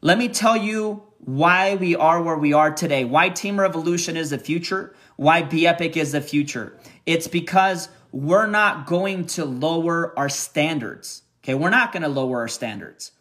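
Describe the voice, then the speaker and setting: A man's voice: a little high, normal speed, hardly any pauses, fairly bright tone. A man giving a motivational talk to his team, repeating himself and checking in with the listener. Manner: repetitive, filler-heavy, keeps checking in with "right?" and "okay?". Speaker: company leader addressing team.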